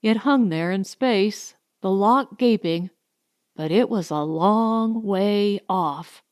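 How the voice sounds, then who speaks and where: Slow, suspenseful woman narrator, dry sound. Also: medium pitch, darkish tone, no echo. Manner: deliberate, suspenseful, short weighted phrases. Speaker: audiobook narrator. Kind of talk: scripted dramatic narration of a science fiction story.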